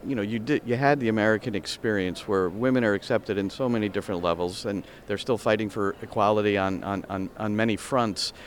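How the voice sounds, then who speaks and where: A man interviewing a coach, asking long questions with hesitant restarts. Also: fairly low pitch. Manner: halting, searching questions with restarts.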